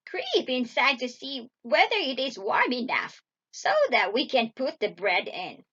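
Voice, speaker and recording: Loud, rasping, mean old witch. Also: sits high, very animated, measured pace, dull audio, full character voice. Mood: scheming. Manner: barking commands, falsely sweet when luring. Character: mean old witch who eats children, impatient and cruel.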